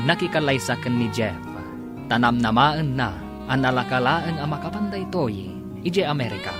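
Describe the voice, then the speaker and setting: Male Ilocano radio drama narrator, lively sweeping delivery, music underneath. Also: medium pitch. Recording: music behind the voice.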